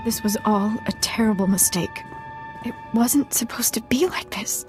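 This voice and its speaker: An actress playing a remorseful village matriarch in a video game, speaking softly and earnestly to plead for her people. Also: medium pitch, moderate pace, music in the background, lightly acted. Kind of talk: video game cutscene acting.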